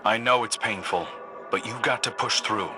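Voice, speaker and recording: A man voicing a steady, encouraging mission handler in a video game. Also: on the low side, moderate pace, over music, lightly acted. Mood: urgent, encouraging. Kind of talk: video game radio-style mission dialogue.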